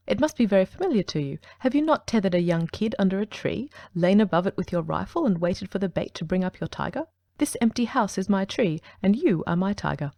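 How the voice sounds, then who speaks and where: A woman voicing a brilliant male detective who speaks in a brisk, precise, ironic way, in an audiobook reading. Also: mid-range voice, expressive, mellow, dry recording, natural voice.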